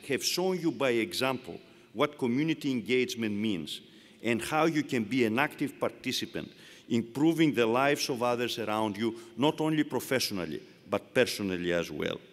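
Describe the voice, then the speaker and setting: A man with a Greek accent giving a formal commencement speech, delivering measured phrases one at a time from a podium. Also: mid-range voice, animated. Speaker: university leader giving commencement address. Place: large hall. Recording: long reverb of a large hall.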